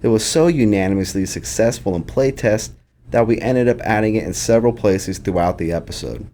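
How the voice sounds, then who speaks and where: A man's voice: fairly low pitch, a little fast, dark tone. A man reading scripted commentary as a game developer.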